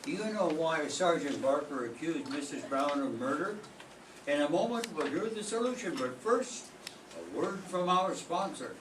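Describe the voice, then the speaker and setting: An older man as the announcer, reading in a measured, formal 1940s radio style. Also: on the high side, animated, noisy background. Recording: echoey room from far off, music behind.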